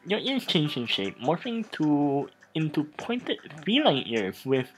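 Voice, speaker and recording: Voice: medium pitch, fairly bright tone, rough recording. A let's play host commenting on a game and reading its text aloud in a theatrical, stumbling way.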